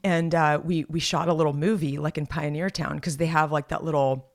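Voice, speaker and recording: Chatty woman with a slightly raspy voice. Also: low voice, fairly even intonation, fairly quick. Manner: chatty and rambling, many fillers and restarts. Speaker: podcast co-host. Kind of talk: casual chat.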